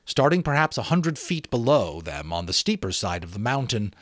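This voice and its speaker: Male amateur audiobook narrator, dramatic storytelling, mid-pitched, animated intonation, deliberate phrasing, dry close recording. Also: dry recording.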